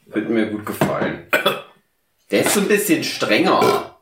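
A fairly low-voiced man chats casually on a podcast after a few drinks. He answers bluntly and giggles through short replies. His voice echoes in a room, and steady noise sits underneath it. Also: a little slow. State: tipsy. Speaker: podcast co-host. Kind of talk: casual chat.

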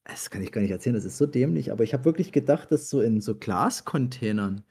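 Man, gravelly, husky, casual anecdotal chat, self-deprecating, halting. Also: medium pitch, lively intonation, dark tone. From Germany.

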